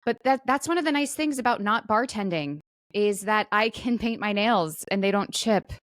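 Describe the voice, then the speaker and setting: A woman's voice: mid-range voice, normal speed, no echo, studio quality. A woman co-hosting a podcast in casual, conversational chat, with plenty of "like" and trailing asides. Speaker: podcast co-host; actor.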